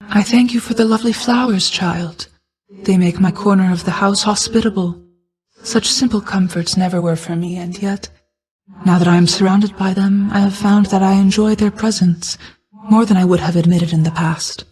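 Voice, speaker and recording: A woman voicing an ancient, stately goddess of night in a video game, a stern but caring mother who speaks in a formal, measured, regal way. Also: mid-range voice, steady tone, darkish tone, lightly acted, rough recording. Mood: serene, gracious.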